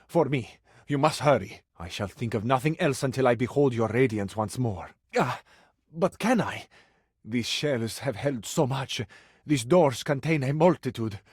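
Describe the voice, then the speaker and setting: A man's voice: lowish voice, husky, no echo, clean studio sound. A man playing a romantic, grandiose Italian lover in a video game, speaking with an Italian accent in a flowery, amorous, theatrical manner. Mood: yearning, hopeful. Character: romantic, grandiose Italian household object come to life, suave male lover.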